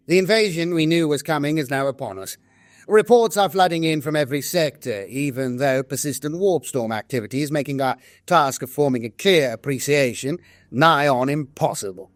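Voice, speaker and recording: A man voicing a grave, dutiful staff officer of a far-future army in an audio drama, formal, solemn and measured. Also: a little high, no room sound, close to an everyday voice. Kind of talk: scripted audio drama narration of war journals and briefings. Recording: music behind a studio voice.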